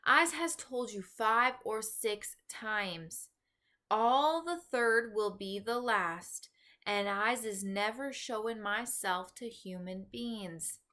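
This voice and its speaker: A woman voicing a stubborn, gentle, pessimistic male giant with garbled folksy grammar in a children's storybook. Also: a little high, takes it slowly. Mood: resigned. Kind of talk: children's storybook read-aloud.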